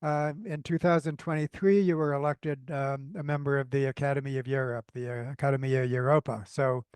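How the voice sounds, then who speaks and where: A man hosting a podcast, introducing his guest with enthusiasm and a measured, lightly hesitant delivery. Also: medium pitch, fairly even intonation, darkish tone, clear, resonant tone, no echo. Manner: enthusiastic, measured, some fillers. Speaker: podcast host. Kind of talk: interview introduction.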